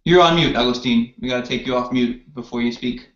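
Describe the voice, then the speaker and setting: Halting man on a roomy call. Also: medium pitch. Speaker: team lead and sales representative hosting a meeting. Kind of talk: informal work meeting introduction. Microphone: video call. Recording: roomy, dull online call audio.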